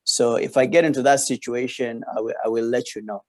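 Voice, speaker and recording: A man's voice: medium pitch, steady tone, brisk. A man, a radiologist, speaking over a video call in a hesitant manner, full of fillers and switching between Swahili and English. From Tanzania.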